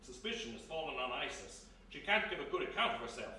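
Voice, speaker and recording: A man's voice: a little high, sing-song, fast, bright, forceful tone, heavily acted. In a big echoing room, away from the mic, a man performs a stern, moneyed older father from a radio mystery. He is firm and blustering, used to giving orders, over faint noise. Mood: grave, urgent. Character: stern older wealthy father, dismissive treasure-seeking excavator. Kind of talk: live radio mystery drama acting. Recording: very echoey hall, distant mic, faint noise.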